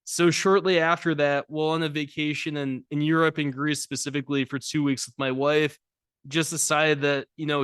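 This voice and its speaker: Smooth-voiced man, brisk and businesslike. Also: on the high side, a bit bright, no echo, studio quality. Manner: brisk, businesslike, runs through lists. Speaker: podcast guest, lending advisor. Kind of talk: casual interview chat.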